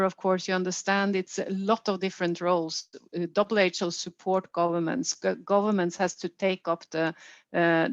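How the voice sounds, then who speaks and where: A woman with a Norwegian accent gives unscripted formal remarks as an official at a meeting, choosing her phrasing deliberately and stressing key words. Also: mid-range voice. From Norway. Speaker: health organisation official leading a consultation. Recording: roomy, dull band-limited meeting audio.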